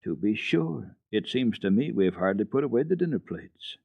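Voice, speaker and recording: A man's voice: on the low side, sing-song, normal speed, vocal fry, breathy edge, dry recording, full character voice. A man voicing a humble grown daughter, a polite and deferential peasant woman, in a storybook reading. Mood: agreeable. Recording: dull archival radio tape.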